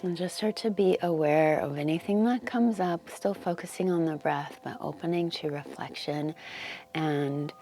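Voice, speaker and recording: A woman leading a guided meditation in a soft, unhurried, informal way, often dropping to a near whisper and pausing between phrases. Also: low-pitched. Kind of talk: guided meditation, gentle instruction. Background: wind chimes and ambient noise.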